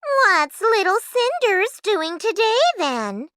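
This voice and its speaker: A woman's voice: sits high, big pitch swings, measured pace, a bit bright, no echo, studio quality, pitched above the performer's own voice, exaggerated voice. A woman voicing a vain, spiteful young stepsister in a storybook, mocking and snappish. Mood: teasing, sneering.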